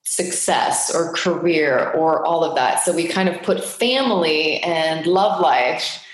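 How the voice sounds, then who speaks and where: A woman's voice: deep voice, animated, slow. A woman from Switzerland talking casually and reflectively about herself as an interview guest, with hesitant phrasing. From Geneva, Switzerland. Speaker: podcast guest, actress. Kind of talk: casual personal storytelling in an interview. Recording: very echoey room.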